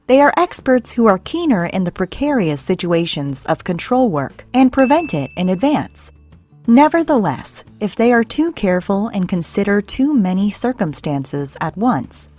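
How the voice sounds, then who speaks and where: A woman's voice: medium pitch, darkish tone. A woman reading a scripted explainer narration in a formal, read-out manner. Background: background music.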